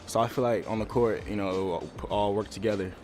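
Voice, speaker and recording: A relaxed young college athlete talks loosely through his answers, circling back on the same words. His voice is a bit low. A loud music bed runs underneath him. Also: fairly quick. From Connecticut. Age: young adult. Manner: laid-back, repeats himself. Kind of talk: recorded player interview.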